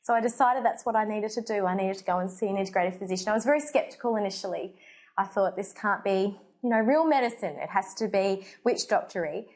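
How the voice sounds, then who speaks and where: A woman's voice: medium pitch, fast, darkish tone. A woman in her thirties with an Australian accent, a doctor hosting her own show, talking earnestly to camera about how she came to her work, with frequent fillers. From Portugal. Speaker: holistic doctor, show host. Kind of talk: personal story to camera. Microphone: facing the camera.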